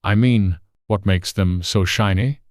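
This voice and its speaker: A narrator plays a storybook griffin with a deep, low voice. The beast is a solemn know-it-all, brusque and easily impatient. The recording is very dry. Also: measured pace, a little mellow, no room sound.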